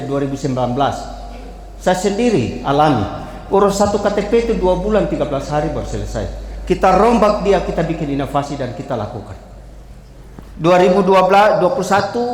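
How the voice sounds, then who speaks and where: A man's voice: fairly high pitch, slight background noise. A man from the civil registry gives an emphatic formal presentation to a jury panel. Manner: emphatic, calls out to the audience, moves slide to slide. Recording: echoey hall, faint ambience.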